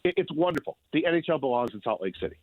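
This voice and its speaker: A man's voice: fairly high pitch, very animated, rapid-fire, dry recording. Man, phone-line sound, dry, emphatic sports talk, guest writer, repeats phrases for punch. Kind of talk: conversational sports commentary.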